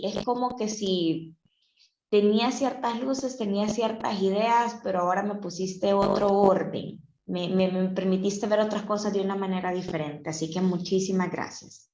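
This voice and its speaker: A woman's voice: mid-range voice, slight background noise. A woman speaks reflectively and expressively on a video call. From El Salvador, half Venezuelan. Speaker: participant in a group talk. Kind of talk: reflective casual talk. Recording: echoey room, dull video-call audio.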